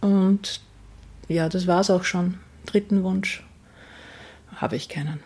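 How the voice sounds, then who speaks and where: A middle-aged woman with an Austrian accent giving a casual interview answer, halting as she thinks aloud. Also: on the low side, fairly even intonation, a little mellow, husky.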